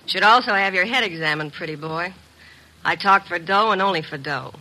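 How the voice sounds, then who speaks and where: An actress playing a tough, mercenary young Broadway dame, terse and sardonic, in 1950s radio drama style. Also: female voice, fairly low pitch, fairly quick, sharp tone, poor audio. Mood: sardonic, defensive. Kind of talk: 1950s radio detective acting.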